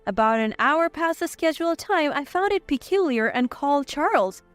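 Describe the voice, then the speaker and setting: Woman narrator doing an emotive story read, really high voice, faint music under it. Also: expressive, normal speed, no echo. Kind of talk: scripted first-person story narration.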